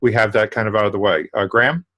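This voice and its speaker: Stammering man on a video call, low voice. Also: quick pace, sharp tone. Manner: stammering repeats. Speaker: meeting officer. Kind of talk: running an online club meeting.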